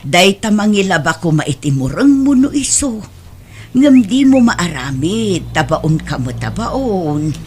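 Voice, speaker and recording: A Filipino woman playing a meek, long-suffering older mother in a radio drama, speaking plaintively and gently. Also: on the low side, very animated, measured pace, slight background noise. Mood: worried, pleading.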